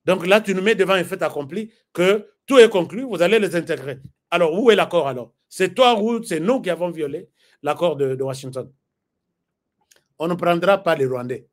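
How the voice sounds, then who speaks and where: Impassioned high-voiced Congolese man. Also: normal speed. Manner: emphatic, rhetorical, repeats phrases for force. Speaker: political commentator. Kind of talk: impassioned political commentary.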